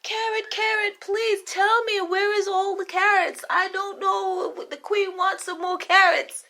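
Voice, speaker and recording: A woman's voice: very high voice, little pitch movement, a little slow, sharp tone, voice pushed up, full character voice. A woman voicing a nervous male royal cook who stammers and hesitates in a storybook tale. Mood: flustered.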